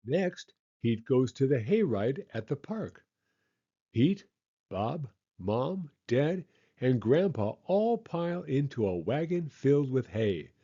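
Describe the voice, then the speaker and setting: Animated man reading a children's story, unhurried. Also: mid-range voice, husky, lo-fi sound. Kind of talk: expressive storybook read-aloud narration.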